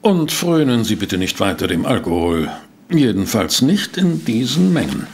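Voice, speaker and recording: An actor playing a detective professor's inquisitive sidekick in a German audio drama, polite and questioning. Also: male voice, lowish voice, very animated, moderate pace, a little mellow, husky, exaggerated voice. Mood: courteous. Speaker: investigator's companion and narrator.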